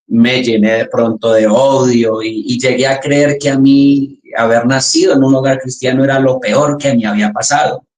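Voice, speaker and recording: A man's voice: mid-range voice, darkish tone. A young Colombian man with a Colombian accent, earnestly telling his church testimony with frequent restarts. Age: young adult. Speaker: church chaplain giving testimony. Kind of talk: testimony interview. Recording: roomy echo.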